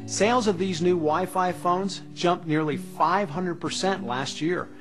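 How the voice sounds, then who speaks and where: An American man in his thirties giving an emphatic, persuasive scripted sales pitch to camera. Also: a little slow. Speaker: infomercial presenter. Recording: music bed behind the voice.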